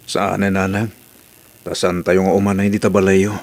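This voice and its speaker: A man's voice: sits low, flat delivery, moderate pace. A man playing an adult son who pleads with his mother in an amateur audio drama. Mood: pleading.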